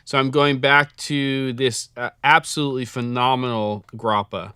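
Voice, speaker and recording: A man's voice: medium pitch, flat delivery, normal speed, pushed and bright, clear, resonant tone. A man co-hosting a casual show, chatting and rambling with frequent laughs. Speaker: podcast co-host. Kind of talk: casual chat.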